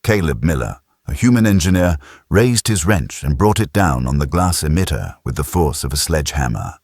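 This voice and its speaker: A man's voice: a bass voice, sing-song, normal speed, a little mellow, breathy edge, dry recording, studio quality. A man narrating a science fiction audiobook in a dramatic, scripted style.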